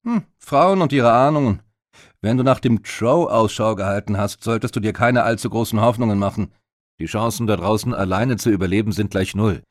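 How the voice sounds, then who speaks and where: A man doing a gruff Viking warrior. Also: fairly low pitch, brisk, no room sound, clean studio sound, lightly acted. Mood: knowing.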